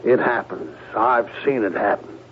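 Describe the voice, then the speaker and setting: A man acts a firm, placating middle-aged spy boss in a radio drama. His voice is lowish and measured, on a dull, slightly hissy vintage broadcast. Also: lightly acted. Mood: firm, sympathetic. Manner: measured, authoritative, persuasive. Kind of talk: 1970s radio drama acting.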